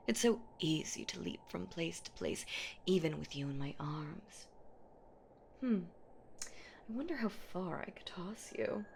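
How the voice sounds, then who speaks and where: A woman's voice: deep voice, a little hiss or hum, full character voice. A woman voicing an ancient female boogeywoman in a horror roleplay audio drama, predatory and possessive, with teasing, menacing delivery. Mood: teasing, triumphant. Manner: slow, teasing, menacing, breathy whispers and drawn-out words.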